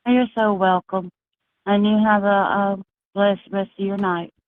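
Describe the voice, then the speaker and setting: Soft-spoken woman caller on a tinny phone line. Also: medium pitch, flat delivery, no room sound. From Texas. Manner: earnest, halting, many fillers.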